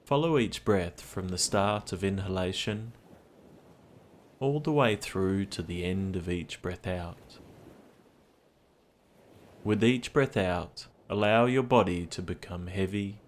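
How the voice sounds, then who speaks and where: A man with a low voice guides a meditation. He speaks very slowly and soothingly, giving gentle instructions. Soft ocean ambience sits behind his words. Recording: clear steady background ambience noise, ocean sounds. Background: ocean sounds.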